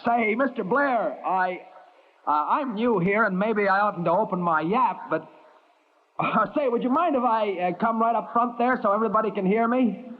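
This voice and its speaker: A folksy, falsely earnest newcomer in a vintage radio play. His voice is high for a man and swings up and down in lively fashion as he hems and stammers. The recording is thin and muffled. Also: moderate pace. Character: folksy, pushy male newcomer posing as earnest volunteer. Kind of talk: 1940s-50s radio drama acting. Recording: muffled, narrow old-time radio recording.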